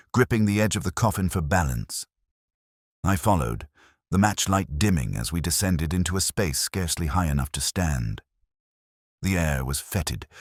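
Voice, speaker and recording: Male narrator for mystery fiction: extremely low, husky voice, expressive swings in pitch, dry close sound. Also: no room sound. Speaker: audiobook-style narrator. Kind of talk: scripted first-person mystery story narration.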